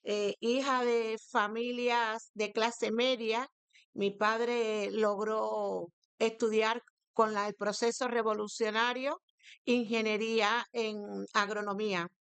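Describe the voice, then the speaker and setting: A woman in her sixties with a Cuban accent, answering interview questions as a guest in a formal, halting way. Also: a little high, little pitch movement, clean tone, no room sound. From Santiago de Cuba, eastern Cuba. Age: 60s. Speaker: guest, teacher and education academic. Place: radio studio.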